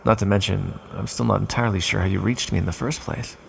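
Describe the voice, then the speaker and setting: An ancient banished male villain, acted by a man for a fantasy roleplay speaks intimately in a husky, very creaky voice. He is theatrical and condescending, yet openly fond as he teases his listener. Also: lowish voice, steady tone, exaggerated voice. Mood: pleased, teasing. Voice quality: husky, gravelly. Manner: slow, theatrical, teasing, condescending yet affectionate. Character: ancient imprisoned villain, male non-human, teasing, smug, smitten. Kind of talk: intimate ASMR audio roleplay.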